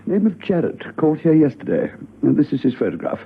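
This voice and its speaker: Officious headquarters chairman, husky and animated. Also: on the high side, a little fast, full character voice. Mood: firm, dismissive. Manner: formal, insistent, flustered denials. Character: officious, evasive chairman of government cipher headquarters. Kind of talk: spy radio drama acting. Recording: thin, phone-like old radio recording.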